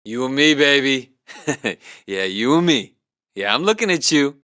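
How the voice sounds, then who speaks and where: A man's voice: medium pitch, very animated, measured pace, pushed and bright, no room sound, clean studio sound. An actor playing a male video game character with brash, slangy attitude, delivering short, exaggerated lines. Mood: flirty, cocky.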